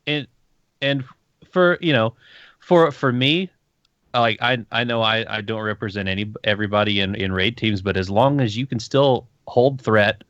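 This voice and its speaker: A man talks at a middle pitch, rambling and restarting his sentences in an upbeat, casual way. His voice sounds dry and close, yet dull and lo-fi. It has the feel of a relaxed podcast chat. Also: normal speed, no room sound.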